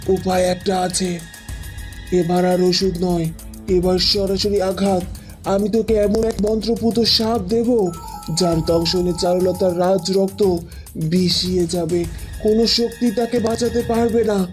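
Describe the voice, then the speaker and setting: A woman's voice: medium pitch, steady tone, a little mellow, soft background music, natural voice. Woman playing a commanding, menacing cartoon sorceress. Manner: imperious, deliberate, menacing. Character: commanding female tantric sorceress, cunning villainous mentor. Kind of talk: Bengali cartoon fairy-tale voice acting.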